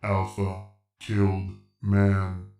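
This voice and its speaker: A man playing a childlike, coldly obedient killer machine man in a radio horror play, talking in halting, broken robotic phrases. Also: sits low, monotone, dark tone, echoey room, soft background music, full character voice. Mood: flat, obedient. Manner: halting robotic delivery in broken third person. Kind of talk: old-time radio horror acting.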